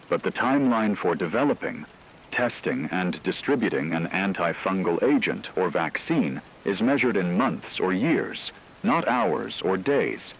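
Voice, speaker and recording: A man playing a grave radio news anchor, delivering a formal, measured, analytical scripted report on an apocalypse. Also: sits low, a little hiss or hum, rough recording.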